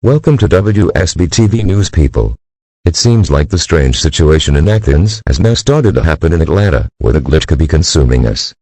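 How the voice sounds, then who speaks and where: A man's voice: a bass voice, expressive, normal speed, a little mellow, breathy edge, dry recording, heavily acted. A man playing an uneasy TV news anchor delivering a scripted newscast about a disaster. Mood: uneasy, reporting.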